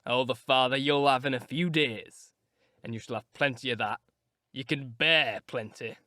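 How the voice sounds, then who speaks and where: A man voicing a harsh, brooding, cruel grown man who speaks in grim, deliberate threats. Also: medium pitch, bright, forceful tone, dry recording, studio quality, voice pushed down, natural voice. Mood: menacing.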